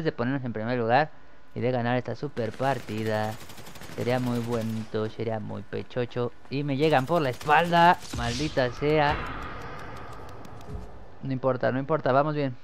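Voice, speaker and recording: Young Mexican gamer, gravelly voice, excitable commentary over faint gunfire. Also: male voice, medium pitch, slight background noise. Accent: Mexican Spanish. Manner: excitable, swears when killed. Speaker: gameplay commentator. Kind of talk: live gameplay commentary.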